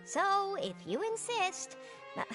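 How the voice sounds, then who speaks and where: A fussy, fretful court secretary. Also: female voice, very high voice, slow pace, exaggerated voice. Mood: resigned. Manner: fretful, exasperated, lively. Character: fussy young female court secretary, flustered. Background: game music.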